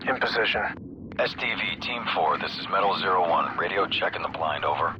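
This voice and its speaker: An actor playing a steady special forces soldier in a war video game, speaking in clipped radio procedure. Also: male voice, deep voice, fairly quick, highs cut off, noisy background, natural voice. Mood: calm, terse.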